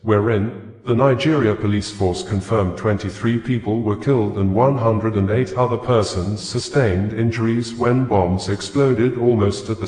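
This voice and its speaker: A man reading scripted news reports in a formal, measured manner. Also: lowish voice, a little mellow. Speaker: news reader. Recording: echoey, reverberant room sound.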